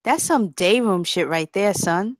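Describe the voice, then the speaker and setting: A woman's voice: mid-range voice, expressive, measured pace, no room sound, studio quality, lightly acted. A woman playing a tough, brash young gang member in a drama table read, with streetwise, slangy delivery. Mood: indignant.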